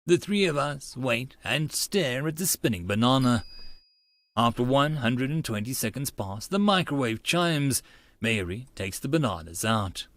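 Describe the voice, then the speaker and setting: A man's voice: medium pitch, lively intonation, a little slow, fairly bright tone, no room sound. A man reading a visual novel aloud as he plays, with casual muttered asides. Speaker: narrator and game player.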